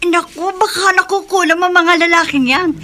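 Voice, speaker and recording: Worried, superstitious high-voiced older woman. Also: steady tone, normal speed, bright, forceful tone. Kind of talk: horror radio drama acting. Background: music.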